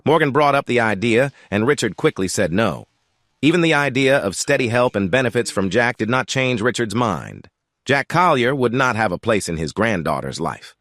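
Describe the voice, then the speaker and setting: A man narrating an audio drama in a steady, measured storytelling voice on a close mic. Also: medium pitch, no echo.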